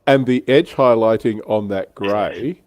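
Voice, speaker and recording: A middle-aged man with a fairly low voice hosts a relaxed hobby chat. He talks informally, jokes and swears mildly, and the sound is close and dry. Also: a little slow, dry recording. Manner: informal, joking, mild swearing. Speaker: livestream host.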